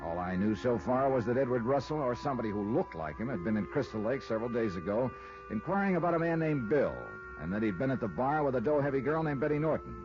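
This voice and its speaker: Male actor, hard-boiled investigator, American accent, wry, lively inflection, old radio drama, music behind. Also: medium pitch, fast, muffled recording, lightly acted. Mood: wry narration. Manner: wry, sardonic. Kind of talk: 1950s radio detective acting, first-person narration.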